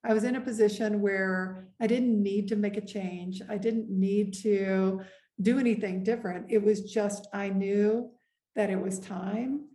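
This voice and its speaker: Middle-aged woman, earnest interview guest, warm mellow tone, roomy echo. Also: medium pitch, fairly even intonation. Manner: earnest, measured, with frequent pauses and "um". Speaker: guest, executive. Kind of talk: reflective interview conversation. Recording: echoey room.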